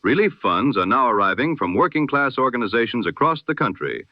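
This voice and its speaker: A man reading the news in the formal, measured manner of an old-time radio announcer. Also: mid-range voice, very animated, no room sound. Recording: lo-fi, dull radio-style sound.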